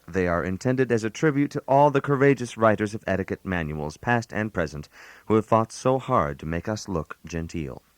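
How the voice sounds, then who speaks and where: An actor playing a put-upon island host in a scripted comic radio monologue, giving genteel advice in a precise, mock-formal manner. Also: male voice, sits low, no echo. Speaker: narrator. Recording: analog radio aircheck.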